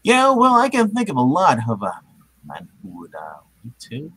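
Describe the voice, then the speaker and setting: An earnest, awkward superhero and loner in love played by a man in a comic book reading. The voice is husky and a little high, with hugely animated pitch. The delivery is hammy and halting. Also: full character voice. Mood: flustered.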